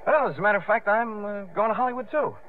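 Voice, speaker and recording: An actor playing a proud, evasive promoter in a 1950s radio drama, stammering with false breeziness. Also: male voice, high voice, fast, lightly acted. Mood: defensive, bluffing. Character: proud, evasive drifter and down-on-luck male promoter. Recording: noisy, tinny 1950s radio recording.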